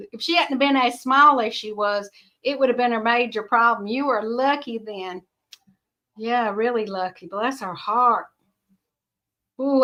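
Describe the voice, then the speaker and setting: Chatty high-voiced bright woman. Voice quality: high-pitched. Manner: rambling, chatty, laughs often. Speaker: homestead vlogger. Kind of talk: casual chat to viewers.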